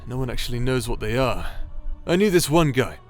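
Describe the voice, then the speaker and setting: A brash rogue of a warrior, played by a man, speaks at a middle pitch in an audio roleplay. He is irreverent and sarcastic, needling whoever is listening, with faint music underneath. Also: animated, brisk, lightly acted. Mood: sardonic musing. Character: brash male bastard warrior, sarcastic, teasing, foul-mouthed.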